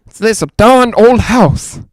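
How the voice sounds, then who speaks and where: A male actor playing a nervous, lonely broadcaster in a 1940s-style radio drama, rambling and halting as he tries to reassure himself. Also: very high-pitched, no room sound. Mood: nervous, uneasy. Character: jittery, lonely man broadcasting alone from haunted house.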